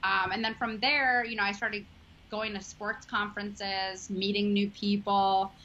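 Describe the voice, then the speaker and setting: A sports journalist in her thirties with a Chicago accent talks in a chatty, earnest way, full of ums and likes. Her voice is bright and forward. The audio is dull and lo-fi, with a faint hiss behind it. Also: medium pitch, flat delivery, unhurried. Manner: chatty, filler-heavy, earnest. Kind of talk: casual interview chat.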